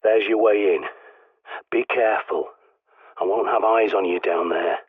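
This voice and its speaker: A man's voice: on the low side, steady tone, measured pace, slightly rough, no echo. A middle-aged man voicing a cynical British survivalist who guides the player by radio, blunt and sardonic, swearing often, in a video game. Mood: gruff. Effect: radio transmission. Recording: tinny radio line.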